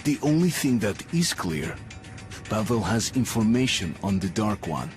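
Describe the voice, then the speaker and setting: A watchful spy, narrating his thoughts in a dry, musing tone. His voice is low and even. Game score plays loudly underneath. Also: moderate pace. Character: wry male spy narrating his mission. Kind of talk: inner-monologue narration. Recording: game cutscene with loud music.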